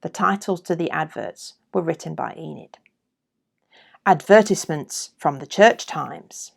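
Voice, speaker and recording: Female reader, unhurried and deliberate, lively rise and fall, a creaky edge, for scripted readings of vintage adverts. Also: sits low. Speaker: podcast host and reader.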